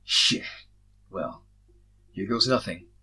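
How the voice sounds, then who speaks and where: Man reading as a husky, anxious young mage hero. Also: lowish voice, takes it slowly, sharp tone, full character voice. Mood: worried.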